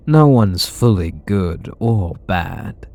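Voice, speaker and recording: A man's voice: sits low, animated, takes it slowly, a little mellow, no room sound, full character voice. A man voicing a bitter, sarcastic supervillain in an ASMR roleplay audio drama, talking in a sardonic, mocking way. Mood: sneering. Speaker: voice actor.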